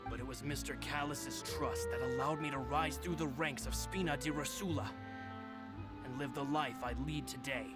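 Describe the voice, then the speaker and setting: A man's voice: medium pitch, natural voice. An actor playing an earnest, loyal syndicate henchman in video game dialogue, respectful and deferential toward the boss. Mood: loyal, solemn. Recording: loud music under the voice.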